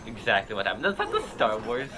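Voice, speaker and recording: A man's voice: fairly low pitch, sing-song, quick pace. A man giving casual, amused reaction commentary, often echoing what he has just heard. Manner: amused, echoes lines he hears, often laughing. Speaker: reaction video host.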